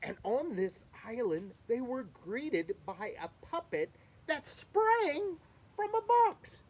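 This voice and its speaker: Woman reading a kids' story, slow pace, lively rising and falling voice, expressive. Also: high voice. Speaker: storybook reader. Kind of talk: children's read-aloud narration.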